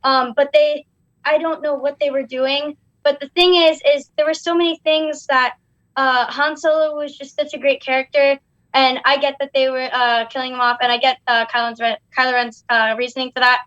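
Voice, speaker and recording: A young woman chatting casually on a podcast, rambling through a point with lots of fillers and pauses. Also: high voice, flat delivery, a bit bright, dry recording. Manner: rambling, hesitant, many fillers. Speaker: guest co-host, daughter of the guest. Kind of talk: casual chat. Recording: dull, dry lo-fi sound.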